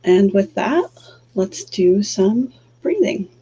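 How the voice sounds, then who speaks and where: A woman hosting a livestream who talks softly and calmly, pausing often with fillers, before leading a guided breathing exercise. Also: mid-range voice, breathy edge. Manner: soft, calm, halting with many fillers. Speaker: livestream host and energy healer. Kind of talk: casual livestream chat, then guided breathing. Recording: dull lo-fi stream audio with faint hum.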